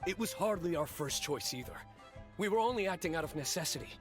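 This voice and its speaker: A man's voice: high-pitched, lively intonation, fast, music in the background. A man playing an earnest, anxious small-time businessman justifying himself in a video game. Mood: defensive. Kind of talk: scripted video game voice acting.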